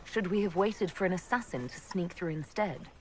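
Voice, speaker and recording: An actress playing a composed elven mage who leads in a fantasy game, speaking in a measured, direct way. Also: female voice, on the low side, a little hiss or hum, natural voice. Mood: matter-of-fact. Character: composed elven woman mage, leader of an inquisition. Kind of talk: video game dialogue.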